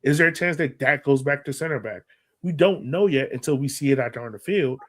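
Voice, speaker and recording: A man's voice: mid-range voice, expressive, fairly quick. A man co-hosts a casual sports podcast. He speaks in a medium register with an emphatic fan's delivery. He often restates a phrase to make his point. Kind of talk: casual sports fan chat.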